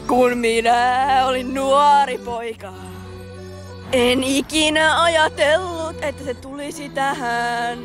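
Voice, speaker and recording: Young woman doing a homemade dub, fast crude lines, giggly, pretty high voice, music playing clear behind her. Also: steady tone. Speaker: fan dubber voicing several characters. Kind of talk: amateur fan dub acting.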